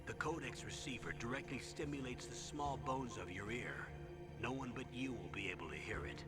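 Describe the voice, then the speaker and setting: Casting: authoritative colonel type performed by a man, mid-pitched, measured and instructional, video game briefing with loud music underneath. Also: close to an everyday voice. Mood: calm, authoritative. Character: composed authoritative male military commander briefing an agent.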